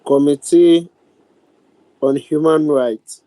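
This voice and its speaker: Ghanaian man, slightly high mellow voice, deliberate formal chair of a virtual sitting, dry sound. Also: steady tone, no echo, low-quality recording. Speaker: speaker of a youth parliament, chairing a sitting. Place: virtual meeting over video call. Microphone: video call.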